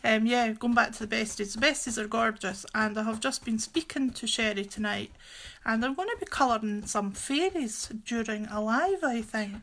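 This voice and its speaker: A woman's voice: fairly high pitch, normal speed, a bit bright. A woman with a Scottish accent chatting casually and jokily as she hosts a live craft stream, rambling as she goes.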